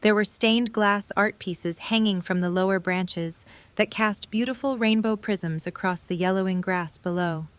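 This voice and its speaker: Mid-pitched woman narrating a story. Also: normal speed.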